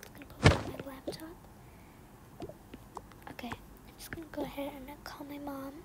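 A young female performer voicing a resentful, sneaky young girl in a game roleplay, often muttering in a breathy half-whisper with casual slang. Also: sits high, flat delivery, normal speed, lightly acted. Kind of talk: improvised game roleplay storytelling. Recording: noisy home recording with clicks.

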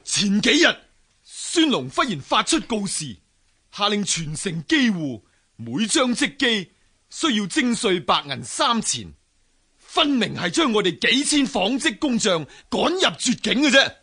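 A fiery weaver turned rebel leader in a period radio play. His delivery is high, husky and swings widely in pitch. He declaims like someone commanding a crowd. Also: very animated, a little slow, pushed and bright, exaggerated voice. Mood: indignant. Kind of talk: Cantonese historical radio drama acting.

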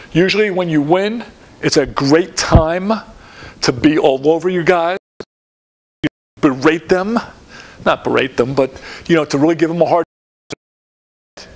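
Older man, coaching lecture, a bit high-pitched, rambling with fillers, lecture room. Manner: rambling, lots of fillers and restarts, gestures at slides. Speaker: lacrosse coach giving a clinic talk. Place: dim lecture room in front of a projected slide.

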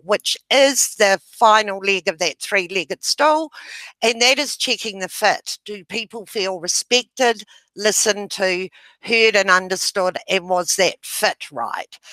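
A middle-aged woman from New Zealand giving a webinar over a video call with a headset, explaining a method in a loud, gesturing way. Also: mid-range voice, dry recording, poor audio. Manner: loud voice, gestures while talking. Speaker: webinar presenter. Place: home room, indoors.

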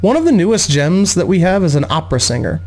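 Man voicing a gracious noblewoman mother, raised pitch. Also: sing-song, quick pace, darkish tone, faint music behind. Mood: composed.

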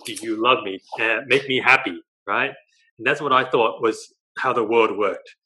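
Animated young Australian man on video call. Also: mid-range voice, normal speed, rough recording. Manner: animated storytelling, gestures a lot, tags lines with "right?". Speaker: guest, dating coach. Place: living room at home.

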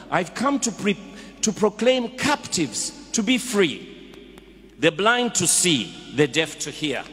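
A Ugandan-accented man preaches from the pulpit, his voice sitting a little high for a man. He drives his points with rhetorical questions and urgent exhortations. A long church reverb trails every phrase. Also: a bit bright. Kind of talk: sermon. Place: large church.

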